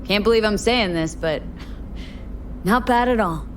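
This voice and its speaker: A woman's voice: mid-range voice, unhurried, slight background noise, natural voice, rough recording. A woman playing a young, dry, guarded female mercenary in a video game. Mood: focused, dry.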